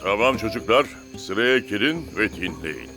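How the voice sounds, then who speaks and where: A man voicing an upbeat, commanding football coach in an animated cartoon dub, brisk and authoritative. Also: on the low side, over music.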